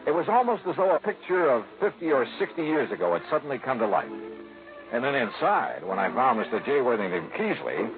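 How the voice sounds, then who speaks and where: An actor playing a wry, breezy insurance investigator with a punchy, wisecracking delivery in the style of 1950s radio detective drama. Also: male voice, mid-range voice. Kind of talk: 1950s radio detective acting with first-person narration. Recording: narrow old radio broadcast with music.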